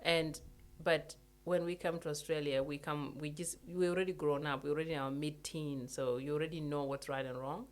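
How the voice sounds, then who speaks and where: Young adult, earnest casual chat, repeats stuff, mid pitch. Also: steady tone, a little fast, hardly any pauses. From South Sudan. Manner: earnest, repeats points, laughs lightly. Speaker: guest in a conversation.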